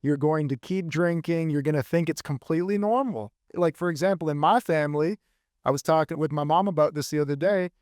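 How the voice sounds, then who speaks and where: A man being interviewed as a fitness coach, answering earnestly and thinking aloud in measured phrases. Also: fairly high pitch, a little mellow, no room sound, clean studio sound.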